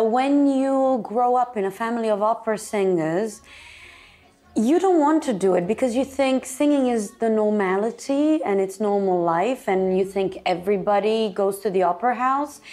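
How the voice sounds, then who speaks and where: A woman's voice: mid-range voice, a little mellow. A woman born in Italy, a guest in an interview, telling her story in long, chatty, run-on answers and laughing often. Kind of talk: casual interview talk.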